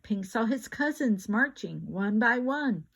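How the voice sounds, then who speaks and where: An older woman reading a children's picture book aloud like a grandmother, with expressive, drawn-out words and emphatic repetition. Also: on the high side, vocal fry, breathy edge.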